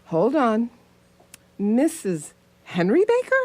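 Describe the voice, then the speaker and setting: A woman's voice: fairly high pitch, huge pitch swings, slow pace, dark tone, no echo, full character voice. Woman as dry, sceptical detective's sidekick. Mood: sardonic, puzzling. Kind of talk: radio theatre acting.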